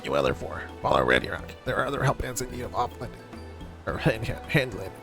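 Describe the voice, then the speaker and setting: A man's voice: fairly low pitch, very animated, fast talker, over music. A man voicing a folksy little goblin-like villager from a video game, with garbled, oddly phrased speech full of doubled words. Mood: earnest, businesslike. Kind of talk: reading game dialogue aloud in character.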